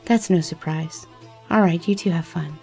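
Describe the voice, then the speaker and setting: Woman acting easygoing adventurer, mellow mid-pitched voice, affectionate, casual, audio drama over faint music. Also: moderate pace, close to an everyday voice.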